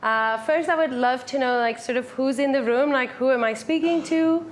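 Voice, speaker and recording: Woman, twenties, raised in the Netherlands; upbeat casual presenter, bright higher register, live room. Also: normal speed, a little hiss or hum. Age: 20s. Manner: upbeat, chatty, many fillers like "like" and "um". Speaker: meetup speaker. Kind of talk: casual conference talk. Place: full room with a live audience.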